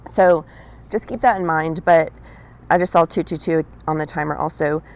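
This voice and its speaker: A woman with a raspy voice giving a casual channelled spiritual message, talking straight to the listener with frequent "right?" and "okay?". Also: low voice, fairly even intonation, moderate pace.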